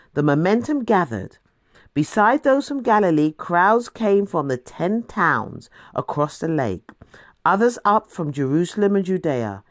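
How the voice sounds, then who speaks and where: A woman reading scripture as a dramatic storyteller, deliberate, with emphatic pauses. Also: sits low, dry recording, studio quality. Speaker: scripture reader. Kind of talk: expressive scripted Bible narration.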